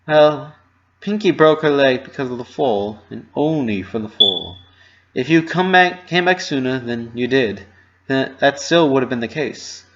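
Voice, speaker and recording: Composed young female pony, male reader: mid pitch, formal and measured, slightly muffled fan-drama recording. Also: lively intonation, fairly bright tone, slight background noise. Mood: reassuring.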